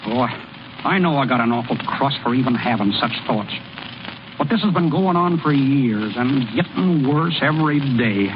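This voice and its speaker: A man's voice: medium pitch, animated, lightly acted. An actor playing a plainspoken small-town American ballplayer and delivery man in old-time radio style, with a folksy, slangy way of talking. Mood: shy, miserable. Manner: folksy, slangy, halting when shy. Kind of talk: 1930s-40s American radio acting. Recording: muffled, noisy old radio broadcast.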